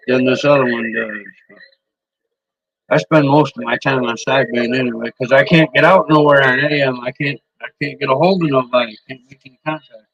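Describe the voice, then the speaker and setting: A man's voice: fairly high pitch, fairly bright tone, muffled recording. A man rambling casually about his CB radio microphones, swearing freely and often restarting his sentences. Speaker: CB radio hobbyist streamer. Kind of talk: casual rambling show-and-tell.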